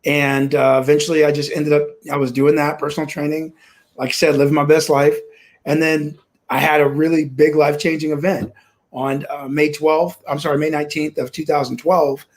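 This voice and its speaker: A man's voice: on the high side, level tone, moderate pace. A man in his forties from Kansas, telling his life story as an interview guest on a video call from home, upbeat and optimistic with frequent restarts. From Kansas, USA. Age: 40s. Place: home room.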